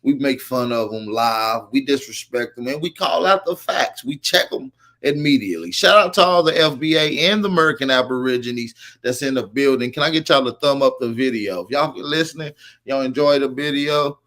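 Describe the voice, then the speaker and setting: A man's voice: medium pitch, a little fast, a bit bright. A man hosting a reaction video, using slang and asking viewers to like and support the channel. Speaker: YouTube channel host reacting to a clip. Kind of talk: casual reaction commentary.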